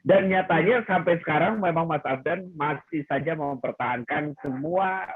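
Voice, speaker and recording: A man hosting an interview, slow and hesitant with many fillers, often affirming his guest. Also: fairly high pitch, noisy background. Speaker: interview host. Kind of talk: casual interview. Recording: tinny phone-line audio.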